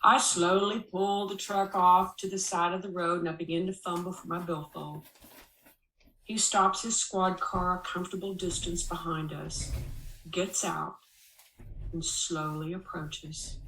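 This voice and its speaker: A woman reading a first-person memoir aloud as an expressive storyteller, with a dramatic, wry delivery. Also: on the low side, far from the mic, slight background noise. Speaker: narrator reading a memoir chapter. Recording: roomy, echoing voice recording.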